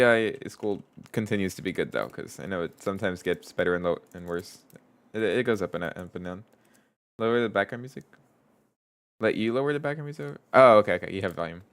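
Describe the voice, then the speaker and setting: A man with a stuffed-up nose and a lowish, smooth voice chats through a live coding stream. He reads viewers' messages aloud and talks himself through his code, trailing off and starting over as he goes. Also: a bit bright. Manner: thinks aloud, reads chat aloud, trails off and restarts. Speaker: streamer and game developer. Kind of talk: casual live-stream chat while coding.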